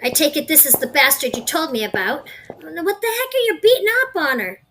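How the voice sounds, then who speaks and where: A woman's voice: sits high, sing-song, fairly quick, sharp tone, slight background noise, heavily acted, poor audio. A woman playing a brash, foul-mouthed crime gang boss in an audio drama, impatient and sarcastic. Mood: bossy, impatient.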